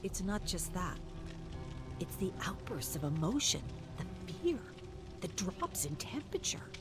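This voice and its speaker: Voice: mid-range voice, sing-song, unhurried, pitched above the performer's own voice. A narrator voicing an earnest male show staffer talking over a speakerphone, laying out what callers report. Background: game music.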